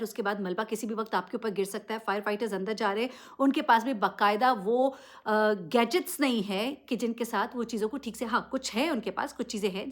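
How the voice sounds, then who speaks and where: A woman giving unscripted news commentary, addressing listeners directly and emphatically with rhetorical questions. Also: medium pitch. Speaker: vlogger presenting news commentary.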